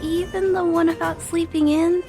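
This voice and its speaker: Game child: tiny very high voice, narrow melody, shy, caring, polite. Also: female voice, little pitch movement, normal speed, mellow, faint music behind. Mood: worried, shy. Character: timid, caring little girl.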